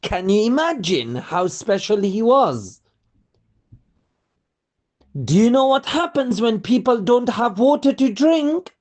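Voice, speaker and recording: Slow, expressive children's storyteller. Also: mid-range voice. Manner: expressive, asks listeners rhetorical questions. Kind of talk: scripted children's religious storytelling.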